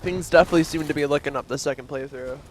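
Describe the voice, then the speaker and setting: A man with a bit of a cold, sniffling as he gives relaxed, rambling commentary over his gameplay, with lots of fillers and restarts. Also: on the high side, very fast, slight background noise. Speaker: gaming commentator.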